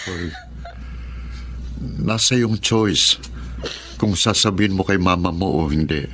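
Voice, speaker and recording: Radio drama: man as calm, deep-voiced comforting friend, gentle and reflective. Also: slow pace, a little hiss or hum. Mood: reassuring.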